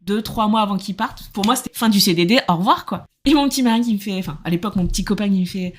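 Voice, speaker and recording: A French woman in her thirties, a podcast guest, telling her story in lively casual talk and acting out what others said. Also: medium pitch, very fast, a little hiss or hum. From France. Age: 30s. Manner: animated, acts out quoted dialogue, laughs often.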